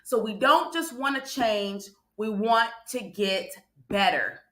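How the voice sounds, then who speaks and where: Bright, emphatic woman preacher in a roomy space. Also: mid-range voice, slow pace. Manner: emphatic, repeats phrases, checks in with listeners. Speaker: guest preacher hosting a morning livestream. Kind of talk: motivational sermon to a live online audience. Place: indoor room on a livestream. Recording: roomy, echoing livestream audio.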